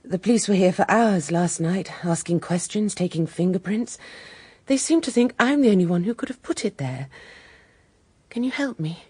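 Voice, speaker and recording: Posh-mannered british widow, lowish husky voice, proud and emotive, radio mystery. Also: female voice, lively intonation, normal speed, a little mellow, dry recording. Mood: anxious, appealing. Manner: refined, emotive. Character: genteel, proud widow under suspicion, anxious and quick to offend. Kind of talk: British radio detective drama acting.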